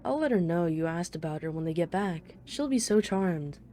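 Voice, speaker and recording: Woman playing a low, mellow, teasing female mafia boss. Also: animated, moderate pace, slight background noise, lightly acted. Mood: smug, charming. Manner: playful, smug, flirtatious banter. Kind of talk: ASMR roleplay, one-sided dialogue.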